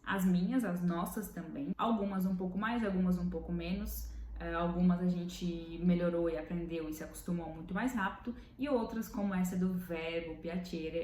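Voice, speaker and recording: A Brazilian woman with a Brazilian Portuguese accent teaches in a relaxed, explanatory way, pausing on fillers and stretching out each example slowly. Her voice sits on the low side for a woman. The room is echoey, with a faint hum of noise underneath. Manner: patient, thinks aloud with fillers, slows to spell out Italian examples. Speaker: language learning vlogger.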